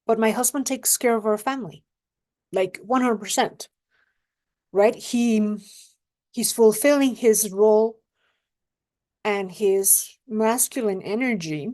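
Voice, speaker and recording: Slow-talking woman coach. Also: medium pitch, dark tone. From Mexico. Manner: unhurried and reflective, often asks the listener "right?". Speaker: relationship coach. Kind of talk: casual advice monologue to camera.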